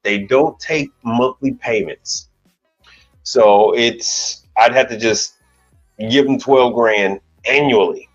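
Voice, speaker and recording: A man in his forties talks at an easy, ordinary pitch, rambling through a casual live chat with plenty of restarts and mild curses. The sound is dull and lo-fi. Faint music plays underneath. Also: slow pace. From United States. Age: 40s. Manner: rambling, casual, swears lightly, restarts often. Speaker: commercial appliance repair technician and channel host.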